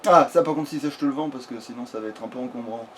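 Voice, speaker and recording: A man's voice: medium pitch, very fast. A man giving casual, improvised video game commentary in a crude, swear-heavy way. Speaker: let's player. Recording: clearly noisy background.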